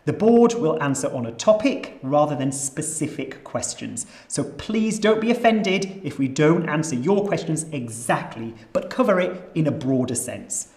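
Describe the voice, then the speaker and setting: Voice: medium pitch, lively intonation, normal speed, hardly any pauses, a little mellow. Yorkshire-accented event host, roomy sound. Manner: light self-deprecating asides. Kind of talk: scripted event housekeeping announcements. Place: nature reserve headquarters.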